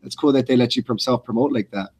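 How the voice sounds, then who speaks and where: A man's voice: medium pitch, fast, darkish tone, breathy edge. A man chatting casually as co-commentator on a live fight broadcast.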